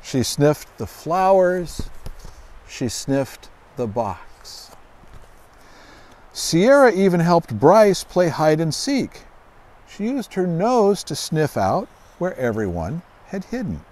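A middle-aged man reading a children's storybook aloud outdoors in a deliberate, expressive way. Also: on the high side. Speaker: guest author reading own children's book. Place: outdoors on a grassy lawn by trees.

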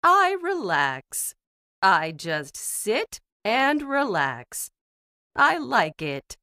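A woman voicing a relaxed, contented elderly lady in a scripted English lesson, speaking in simple, unhurried sentences. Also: medium pitch, dry recording, clean studio sound, exaggerated voice. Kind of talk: scripted English-lesson monologue read slowly.

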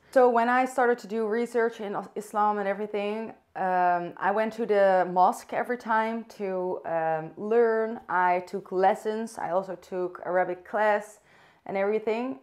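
Young Dutch woman, soft and unscripted. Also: medium pitch. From Netherlands. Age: young adult. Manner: soft, thoughtful, searching for words with fillers and restarts. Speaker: vlogger. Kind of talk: unscripted personal vlog. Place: bright room indoors by a window.

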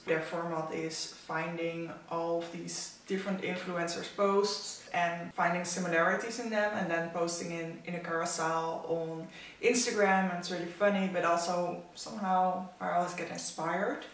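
A woman's voice: lowish voice, fairly bright tone, a little hiss or hum. Chatty Dutch-accented woman in an echoey room. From Netherlands. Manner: chatty, opinionated about outfits. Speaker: fashion vlogger. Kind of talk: casual talk to camera. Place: living room at home. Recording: echoey room, mic at a distance.

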